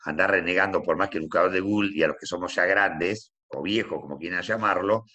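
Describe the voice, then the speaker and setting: A very deep-voiced man cutting in on an online class with jokes. His tone is bright and informal. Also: a little fast. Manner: joking, informal. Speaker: student.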